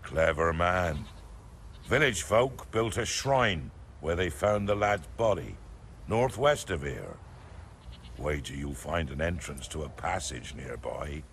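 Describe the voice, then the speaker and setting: A man's voice: very deep voice, sing-song, slow pace, fairly bright tone, slight background noise, exaggerated voice. An actor playing a weary, sly villager in his seventies in a video game, with a British accent and a wry, folksy manner. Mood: sly, storytelling. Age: 70s. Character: weary, sly old male peasant villager.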